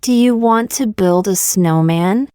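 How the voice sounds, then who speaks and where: A woman reading short practice sentences aloud for learners, saying each one twice, slowly and carefully. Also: mid-range voice, dark tone, smooth, no room sound, studio quality. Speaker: English teacher.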